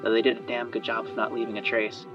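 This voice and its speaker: A man's voice: on the low side, completely flat delivery, fast, natural voice. A man voicing an eager, chatty female inventor who is loyal and worried, speaking in a hesitant, rambling way. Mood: subdued, worried. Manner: hesitant, rambling, trailing off and sighing. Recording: muffled band-limited audio under music.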